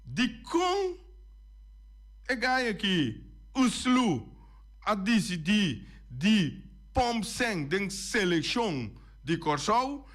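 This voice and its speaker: A man's voice: high voice, very animated, extremely slow, pauses often, a bit bright. A man hosting a radio talk show, making emphatic points and listing items one by one. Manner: emphatic, repeats and lists points. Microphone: studio radio microphone.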